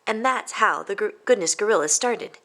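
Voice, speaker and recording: Animated woman reading a children's story. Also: medium pitch, normal speed. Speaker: storybook reader. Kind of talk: expressive children's story narration.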